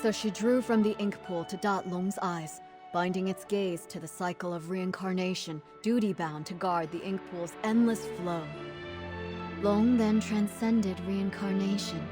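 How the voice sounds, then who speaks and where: A woman voicing a solemn narrator of an ancient myth in a video game, in a measured storybook style. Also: medium pitch, steady tone, over music, lightly acted. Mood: solemn, wistful.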